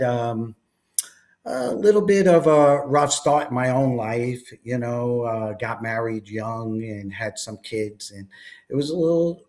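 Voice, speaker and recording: A middle-aged man with a Long Island accent, telling his life story as an interview guest, rambling with many fillers. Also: mid-range voice. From New York, USA.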